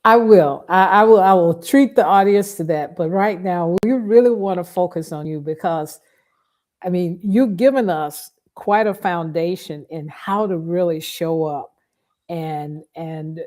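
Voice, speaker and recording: A woman's voice: on the low side, measured pace, darkish tone. A woman hosting a conversational interview, speaking in a reflective, roundabout way as she sets up her questions. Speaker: podcast host, interviewer.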